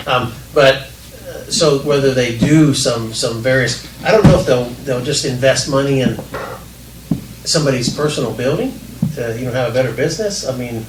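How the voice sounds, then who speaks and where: A man's voice: medium pitch, moderate pace. A county commissioner talking at a council meeting, hesitant and often restarting his sentences. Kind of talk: public meeting discussion. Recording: echoey hall, distant mic, hiss.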